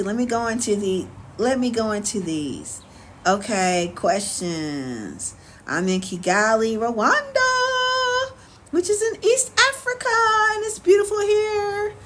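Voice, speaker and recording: An American woman chatting casually on a livestream as host, answering viewers in an animated, sassy, colloquial way. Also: fairly high pitch, unhurried. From United States. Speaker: live stream host. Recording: clear background noise, ambient sound.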